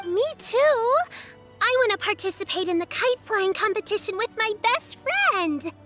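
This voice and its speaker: A woman's voice: extremely high pitch, lively intonation, measured pace, pushed and bright, soft background music, full character voice. A woman voicing an earnest, caring little girl in a game, eager and sweet.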